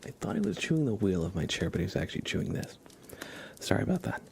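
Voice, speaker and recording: A man hosting an ASMR livestream, chatting softly and mostly in a breathy whisper. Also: on the low side, sing-song, fast talker, slight background noise. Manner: soft-spoken, mostly whispering. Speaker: livestream host.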